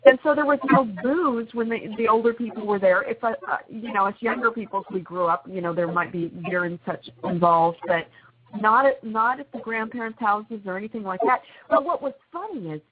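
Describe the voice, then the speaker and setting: A woman's voice: fairly high pitch, fairly quick. A woman telling family holiday stories in a chatty, laughing way as a guest on a call-in show. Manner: chatty, laughs often, storytelling. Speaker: radio guest. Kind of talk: casual call-in chat. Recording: tinny phone line with noise.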